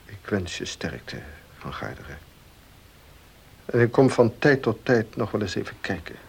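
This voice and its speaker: An actor playing a formal, grave family doctor in a period radio drama, measured in delivery, with a gravelly, husky voice. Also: male voice, on the low side, sing-song, a little hiss or hum, full character voice. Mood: formal, sympathetic.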